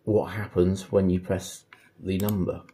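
A man's voice: low voice, flat delivery, measured pace, vocal fry, warm tone, husky. A man narrating a casual hands-on tutorial as an installer, thinking aloud in an informal way. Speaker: installer demonstrating intercom setup.

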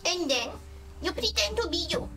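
A woman with a squeaky voice, playfully coaching another player in character. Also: animated, moderate pace, sharp tone, distant mic, a little hiss or hum. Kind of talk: playful in-character tabletop roleplay. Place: studio set with desk, indoors.

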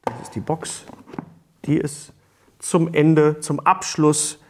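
A man's voice: fairly high pitch, level tone, slow, a little mellow, a little hiss or hum. A middle-aged man hosting a talk show, presenting in a casual, jokey, enthusiastic way. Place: small studio set, seated at a table. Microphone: lapel mic.

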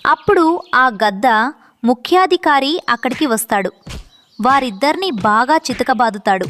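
A woman's voice: high-pitched, animated, moderate pace, fairly bright tone, a little hiss or hum. A woman reading scripted narration for a children's story as the storyteller.

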